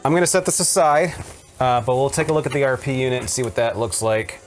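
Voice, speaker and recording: A man's voice: mid-range voice, fast talker, soft background music. A man casually presenting and explaining vintage machines in an easygoing conversation.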